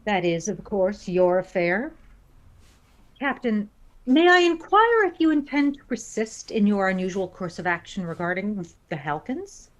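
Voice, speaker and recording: A woman's voice: medium pitch, very animated, rough recording. A woman playing a cold, logical female alien first officer in a science fiction audio drama, speaking formally and precisely. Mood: stern, probing. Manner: formal, measured, precisely worded.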